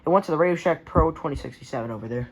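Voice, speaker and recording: A man's voice: a little high, very fast, slight background noise, low-quality recording. A man giving a casual show-and-tell vlog in a rambling, offhand, sweary way, recorded on a handheld phone. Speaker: hobbyist presenting a radio collection. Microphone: phone held in hand.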